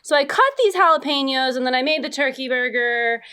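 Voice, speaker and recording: A woman's voice: high-pitched, expressive, brisk, pushed and bright, clean tone. A woman telling comic anecdotes in casual chat and putting questions to a panel, with playfully dramatic emphasis. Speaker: panel professor posing quiz question.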